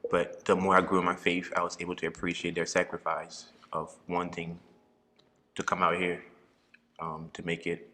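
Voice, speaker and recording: A young man, a podcast guest telling his faith story in a thoughtful, unhurried way with frequent ums and easy laughs. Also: sits low, monotone, bright, forceful tone, big-room sound. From Liberia. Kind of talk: faith testimony in casual interview.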